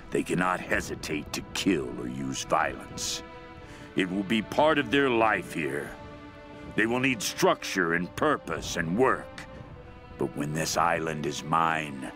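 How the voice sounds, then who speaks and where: A man's voice: low voice, exaggerated voice. An actor playing a fanatical, mad cult leader obsessed with a queen, delivering a brooding, menacing diary monologue for a video game. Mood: commanding, ruthless. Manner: slow, brooding, menacing, intense.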